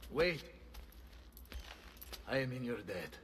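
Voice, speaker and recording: A man's voice: mid-range voice, very animated, takes it slowly, a bit bright, a little hiss or hum. An actor playing a proud, wounded Florentine nobleman in a video game, with a pained, commanding delivery. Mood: grave, grateful. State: pained, weakened by injury. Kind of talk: dramatic video game acting.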